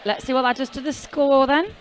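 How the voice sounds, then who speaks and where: A woman's voice: high voice, fairly even intonation, brisk, some chatter behind, slight background noise, rough recording. A woman commentating roller derby live, chatty and jokey, with rambling asides. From half Welsh, never lived in Wales. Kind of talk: casual live sports commentary.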